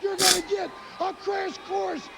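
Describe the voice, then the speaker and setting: A man's voice: very high-pitched, little pitch movement, measured pace, gravelly. A man delivering a theatrical, insulting wrestling promo in an emphatic, showy way. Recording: archival TV audio with a loud crowd. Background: arena crowd noise.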